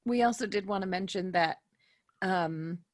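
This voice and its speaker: A woman's voice: mid-range voice, normal speed, dry recording, clean studio sound. A woman co-hosting a podcast in casual chat with the other players.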